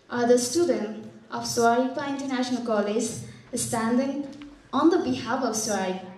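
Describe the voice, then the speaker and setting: A woman's voice: fairly high pitch, darkish tone. Young Nepali woman, earnest conference speech. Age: young adult. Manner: earnest, halting with fillers. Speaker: student presenter. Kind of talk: formal welcome speech. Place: conference hall. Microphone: handheld mic. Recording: echoey hall from far off.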